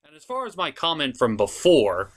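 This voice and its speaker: A man hosting a puppet review show, delivering animated recap commentary in a theatrical, emphatic showman style with drawn-out words. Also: fairly high pitch.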